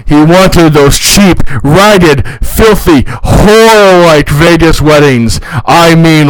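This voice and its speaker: A man's voice: fairly high pitch, dry recording, rough recording. A man voicing an entitled, self-pitying bride-to-be in a theatrical, indignant, profane dramatic reading for comedy.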